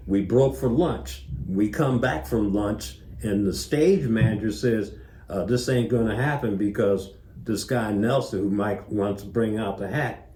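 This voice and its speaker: A man's voice: lowish voice, a little slow, dark tone. An older man, an interview guest, telling stories casually and acting out remembered dialogue as he goes. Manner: acts out remembered dialogue as he narrates. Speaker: interview guest, former tour manager. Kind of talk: casual anecdotal storytelling. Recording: roomy, echoing interview sound.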